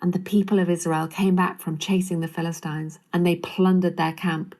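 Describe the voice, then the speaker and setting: A woman's voice: fairly low pitch, level tone, moderate pace, a little mellow. A woman narrating a scripture story from a script.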